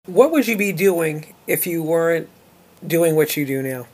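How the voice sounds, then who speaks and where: Give me a man hosting a podcast interview with a slightly high-pitched voice. He keeps his questions brief and direct. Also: animated, measured pace. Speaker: podcast host.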